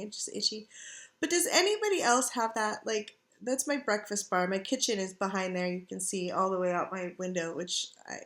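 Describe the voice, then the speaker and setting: A woman's voice: medium pitch, fairly bright tone. A middle-aged woman with a scratchy, irritated throat, chatting in a rambling way to her webcam from her couch at home. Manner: rambling, chatty, frequent ums and throat clearing. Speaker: craft vlogger. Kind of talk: casual chat to webcam. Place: living room couch at home. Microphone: computer webcam.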